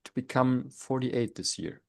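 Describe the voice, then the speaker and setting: A man's voice: mid-range voice, a little slow, creaky. A man in his forties with a German accent, co-hosting a casual podcast chat in a joking, self-mocking way, stopping and restarting often. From Germany, grew up in East Berlin. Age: 40s. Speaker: podcast co-host, language teacher.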